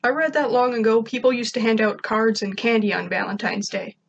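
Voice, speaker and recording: A woman's voice: a little high, fairly even intonation, brisk, gravelly, lo-fi sound, a little hiss or hum. A woman voicing a curious, earnest schoolboy in an amateur story reading, with an inquisitive manner. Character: curious, earnest schoolboy raising questions in class.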